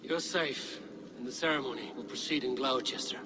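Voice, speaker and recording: A steadfast Viking warrior acted by a man in a game drama. He is stoic and grave, keeping his words terse at a middling pitch, with clear background noise. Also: moderate pace, lightly acted. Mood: reassuring. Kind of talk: dramatic game cutscene acting.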